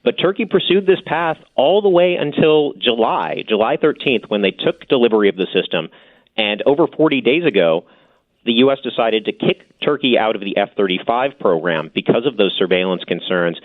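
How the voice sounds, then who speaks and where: A man reporting as a defense correspondent, explaining policy in a measured, explanatory way. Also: medium pitch, lively intonation, phone-quality sound. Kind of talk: news analysis.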